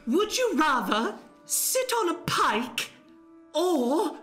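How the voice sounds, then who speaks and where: A woman's voice: high-pitched, big pitch swings, takes it slowly, a bit bright, heavily acted. A woman playing Barry's part, an eager, hesitant pubescent boy wizard in an improvised comedy radio play. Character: Barry, eager cursed boy wizard just hitting puberty. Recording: music behind the voice.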